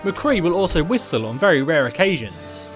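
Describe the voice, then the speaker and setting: A man's voice: fairly high pitch, sing-song, moderate pace, over music. A man hosting a video, reading scripted narration. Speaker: video host. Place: close mic voice-over with music behind.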